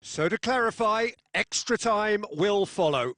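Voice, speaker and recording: A man's voice: high voice, lively intonation, measured pace, a bit bright, dry recording, studio quality. A man voicing a football video game's play-by-play commentator, with dramatic, punchy phrasing. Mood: engaged, dramatic.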